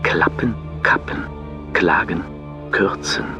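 An actor playing a brooding speaker who recites fragments in a halting way, word by word, sometimes dropping toward a whisper. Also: male voice, sits low. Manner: halting, isolated words, partly whispered. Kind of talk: experimental spoken-word performance. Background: loud music.

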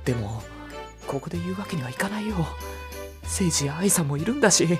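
A woman's voice: lowish voice, expressive, a little fast, over music, close to an everyday voice. A woman playing an earnest teenage boy, evasive and easily flustered, in an anime audio drama. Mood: hushed, uneasy.

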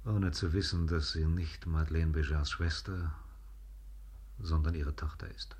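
An actor playing a grave chronicler, narrating a radio play in a solemn, measured way. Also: male voice, rumbling low, steady tone, a little mellow, breathy edge, heavily acted.

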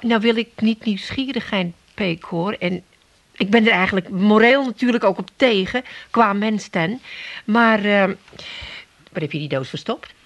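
An actress playing a long-suffering, sharp-tongued, nosy working-class wife with an Amsterdam accent in a comic radio play. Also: female voice, mid-range voice, measured pace. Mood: reproachful, coy.

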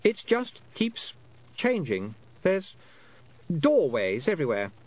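Nervous twelve-year-old boy, rambling and hesitant, high and swooping, as read by a male narrator. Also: wildly swooping pitch, slow, heavily acted, rough recording. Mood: anxious.

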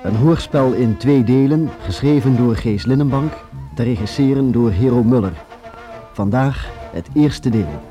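A man's voice: medium pitch, unhurried. A man reading a scripted announcement as the announcer of a radio drama. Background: loud music.

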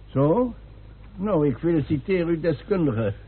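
A man's voice: fairly high pitch, big pitch swings, unhurried, slightly rough, exaggerated voice. An actor playing a dry, authoritative male official at an inquest in a radio play. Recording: muffled old radio recording.

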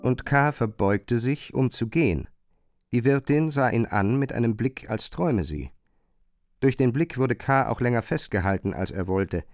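A man narrating a literary radio play in a measured, precise way. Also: mid-range voice, lively intonation, a little mellow, dry recording, studio quality.

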